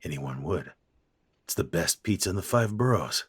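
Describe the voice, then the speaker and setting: A man voicing a gruff middle-aged father in an audio drama, his voice low and rough with a husky grain. He is stiff and clipped, uneasy with his grown son. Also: expressive, moderate pace, dry recording, clean studio sound, heavily acted. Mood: warm. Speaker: voice actor.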